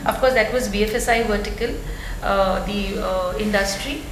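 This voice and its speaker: A woman's voice: mid-range voice, fairly even intonation, normal speed. A woman with a big voice, a retail executive on a panel, rambling with lots of fillers. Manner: loud, rambling, lots of "you know". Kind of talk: panel discussion. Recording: echoey room with clear background noise.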